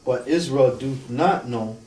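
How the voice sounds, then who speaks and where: A man reading scripture aloud in a slow, measured way during a group discussion. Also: mid-range voice, darkish tone. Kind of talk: scripture reading and casual chat. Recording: echoey room from far off.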